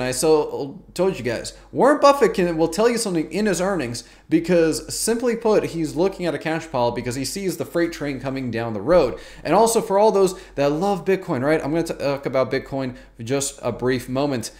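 Man, thirties, somewhat high voice, emphatic colloquial delivery, online market commentator talking to camera. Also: darkish tone. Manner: emphatic, colloquial, repeats words for stress. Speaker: YouTube stock market commentator.

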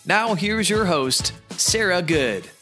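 A male announcer reads a short scripted welcome for a podcast. His delivery is even and polished. Music plays clearly beneath his voice. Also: high voice, takes it slowly. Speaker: show announcer. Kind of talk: scripted show intro. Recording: intro voice over music.

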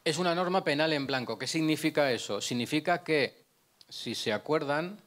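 A man's voice: fairly high pitch, moderate pace, fairly bright tone. Man lecturing through a handheld mic. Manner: explanatory, asks and answers own questions, frequent restarts. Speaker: prosecutor giving a conference talk. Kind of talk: lecture with slides. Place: conference room, indoors. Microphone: handheld microphone.

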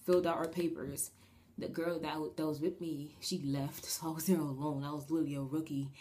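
A young woman from New Jersey with a raspy, slightly shaky voice, rambling through a casual storytime vlog with lots of fillers. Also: low voice. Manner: rambling and animated, with many fillers and quick gestures. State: shaky voice after a breathing treatment. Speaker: vlogger. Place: small bedroom, indoors. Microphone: webcam at desk distance.

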